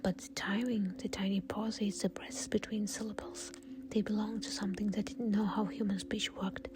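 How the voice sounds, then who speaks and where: A woman narrating a horror story in a breathy, unvoiced whisper. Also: medium pitch, little pitch movement, moderate pace. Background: soft music.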